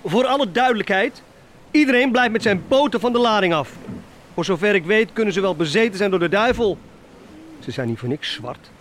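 An actor playing a hard, domineering, mercenary privateer captain in a radio drama, barking commands. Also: male voice, high-pitched, animated, brisk, a bit bright, slight background noise. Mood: gruff, commanding.

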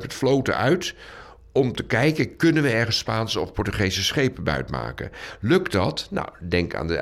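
Deep-voiced man explaining history. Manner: explanatory, punctuated with tag questions and rephrasings. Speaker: interviewed historian. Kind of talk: conversational explanation in an interview.

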